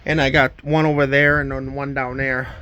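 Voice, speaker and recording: A man's voice: medium pitch, little pitch movement, moderate pace, pushed and bright, clean tone, highs cut off. A man casually presenting and demonstrating items from his collection in a plain, matter-of-fact way. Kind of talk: casual show-and-tell demonstration.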